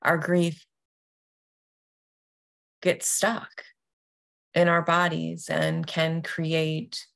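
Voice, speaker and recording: Lady yoga nidra teacher doing a meditation intro, super slow w long pauses, kinda creaky fry, gentle. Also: low voice, monotone.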